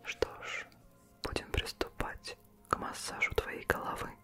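Victorian vampiress played by a young woman, ASMR: close breathy whisper, unhurried, gentle, nurturing elder. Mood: soothing, caring. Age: young adult. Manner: slow, soothing, gentle. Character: caring Victorian female vampire, elder mentor to a fledgling. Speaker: ASMR performer. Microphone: close microphone.

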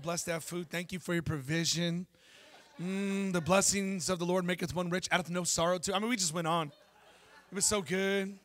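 A man in his thirties preaching a sermon on a church stage into a handheld mic, telling lively stories and asking the congregation questions. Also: sits high. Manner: animated storytelling preacher, jokes and asks the crowd questions. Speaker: pastor.

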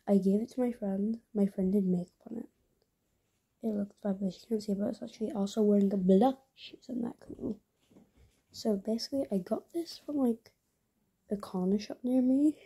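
A woman's voice: medium pitch, dark tone, husky. A young woman, chatting casually in her bedroom at night while showing plush toys to a handheld camera, rambling with many fillers and dropping into whispers. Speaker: vlogger.

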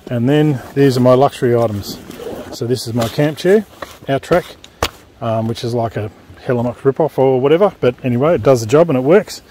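A man's voice: medium pitch, a little fast, background noise. Easygoing man showing off gear outdoors. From Australia. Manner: relaxed, plain-spoken, explaining with fillers. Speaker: motorcycle vlogger. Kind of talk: casual gear review. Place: outdoors on dirt beside a motorbike.

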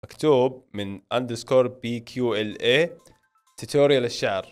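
A man's voice: mid-range voice, fast, smooth, soft background music. A man in his late twenties with a Hejazi Saudi accent, chatting informally to viewers as he reads out questions and answers them, close to a studio microphone. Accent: Saudi Hejazi Arabic. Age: late 20s. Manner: informal, conversational, addresses viewers directly. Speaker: film and series YouTuber. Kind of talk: casual Q&A to camera, reading viewer questions aloud and answering. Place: small indoor home studio. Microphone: microphone on a stand close in front.

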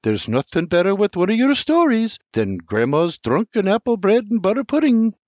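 A man voicing a sweet but sharp-tongued mother in a high, loud put-on voice. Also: very animated, normal speed, dry recording. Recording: slightly muffled dry recording.

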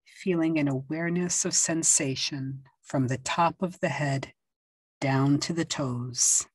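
Woman meditation guide, low and breathy, unhurried soothing delivery, dry close sound. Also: no echo. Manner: slow, soft and soothing, with long pauses and gentle instructions. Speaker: yoga nidra teacher.

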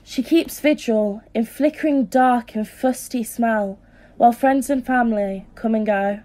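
A woman playing a sly, conspiratorial narrator in a theatrical, deliberate verse audio drama. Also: a little high, warm tone, a little hiss or hum, close to an everyday voice. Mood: hushed intrigue. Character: sly, conspiratorial narrator of night-time scandal. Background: music.

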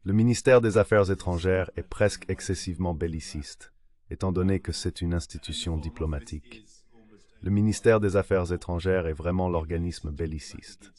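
A man's voice: sits low, steady tone, normal speed, a little mellow. A synthetic male voice delivering a translated voice-over of an interview guest's answers.

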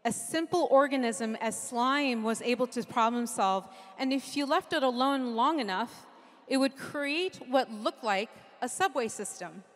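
A woman's voice: a little high, lively intonation, unhurried. Woman speaker on a big reverberant stage. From Japan. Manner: earnest, lightly humorous, lists ideas in rhythmic runs. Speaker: keynote speaker. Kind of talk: conference keynote talk. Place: large conference stage with audience. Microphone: handheld mic. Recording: long hall reverb from a big venue.

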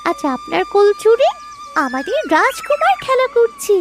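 A devoted young servant girl in a fairy-tale cartoon. The voice is tiny and extremely high, swinging freely up and down. It stays respectful and reassuring over a loud music bed. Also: wildly swooping pitch, normal speed, full character voice. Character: young maidservant Moyna, sweet and devoted to the queen.

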